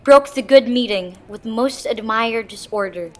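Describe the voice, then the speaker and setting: A woman's voice: a little high, measured pace, close to an everyday voice. A woman playing a commanding, scornful queen in a dramatic reading of Shakespeare. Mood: composed, gracious. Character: commanding, scornful queen covering for her husband.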